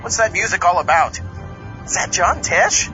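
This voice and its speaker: Whiny excitable zombie astronaut, super high, muffled audio with music behind. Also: male voice, moderate pace. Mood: impatient. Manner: exclamatory, whiny, scatterbrained. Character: excitable goofy undead zombie astronaut, childishly silly. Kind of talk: comic audio drama acting.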